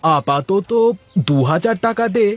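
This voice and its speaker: Man playing a pushy, high-voiced cartoon trickster. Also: expressive, unhurried, a bit bright, dry recording. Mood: threatening. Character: cunning, pushy village trickster, crony of a schemer. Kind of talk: cartoon moral-story dialogue.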